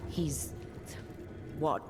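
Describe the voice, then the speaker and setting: An actress playing a composed, decisive video game heroine with a dry, questioning manner. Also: female voice, extremely slow. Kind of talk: video game character dialogue. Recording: music behind.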